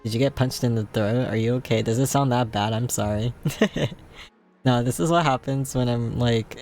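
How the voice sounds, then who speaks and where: A man live-streaming a game and chatting casually with his viewers. His voice sits at a middle pitch and has gone hoarse and scratchy. He keeps breaking into small laughs, and soft music plays faintly behind him. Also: normal speed. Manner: giggly, laughs often between sentences. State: hoarse, voice giving out.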